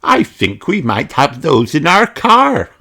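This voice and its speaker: An eager young piglet girl from a children's storybook, acted out by a man. The voice is pitched up high and swings widely, cheerful and impatient. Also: wildly swooping pitch, takes it slowly, sharp tone, exaggerated voice. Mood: curious. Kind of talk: children's storybook read-aloud.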